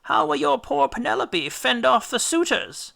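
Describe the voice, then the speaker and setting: Storybook ghost mother, played by a man: thin, very high, sorrowful and fretful. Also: very animated, moderate pace, creaky, sharp tone, heavily acted. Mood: reproachful, fretful. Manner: plaintive, questioning. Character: mournful ghost of a hero's elderly mother.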